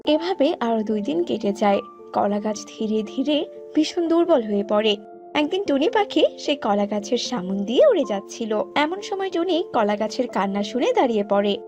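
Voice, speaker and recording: A woman's voice: on the high side, very animated, moderate pace, over music. A woman narrating a scripted children's cartoon story.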